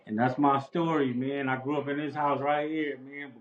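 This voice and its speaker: A man from Los Angeles telling his life story in a relaxed, casual way, full of street slang and repeated "bro". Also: mid-range voice, moderate pace, echoey room, dull audio. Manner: laid-back, repetitive street slang with frequent "bro". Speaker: interview guest. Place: outdoors near a house.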